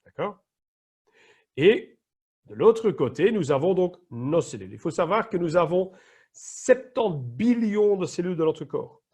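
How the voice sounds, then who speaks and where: A middle-aged man with a Flemish accent gives an explanatory training talk, now and then hunting for the right word. His voice sits a little high and has a husky, breathy edge. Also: sing-song, a little slow, darkish tone. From Flanders, Belgium. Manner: explanatory, searches for words at times. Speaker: emergency nurse and wellness trainer. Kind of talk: online training presentation.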